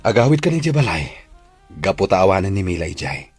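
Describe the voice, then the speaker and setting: A man's voice: sits low, very animated, a little fast, darkish tone, faint music behind. A man playing an earnest, coaxing father in an Ilocano radio drama. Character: earnest father, Danilo, coaxing his child.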